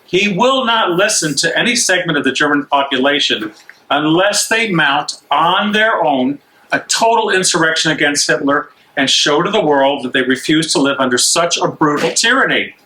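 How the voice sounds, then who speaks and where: An actor playing a cautious English bishop in a stage reading, formal and admonishing in manner. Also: male voice, on the high side, animated, moderate pace, bright, forceful tone, low-quality recording. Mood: firm.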